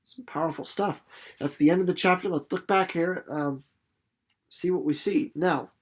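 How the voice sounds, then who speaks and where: A man giving casual commentary on a book as a teacher, informal and a little halting as he thinks aloud. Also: fairly high pitch, breathy edge. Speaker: reader and teacher. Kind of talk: storybook narration and casual literary commentary for students.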